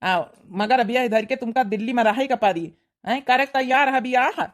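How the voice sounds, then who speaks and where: A woman's voice: on the high side, normal speed, close to an everyday voice. A woman with an Awadhi accent voicing a trusting, affectionate middle-aged village father in a comic cartoon. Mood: fatherly. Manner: affectionate, earnest.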